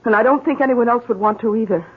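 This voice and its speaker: An actress playing a worried, uneasy wife in a 1970s radio drama, with an expressive, hesitant delivery. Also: female voice, fairly high pitch, quick pace, husky. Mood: dismayed. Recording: muffled old radio broadcast.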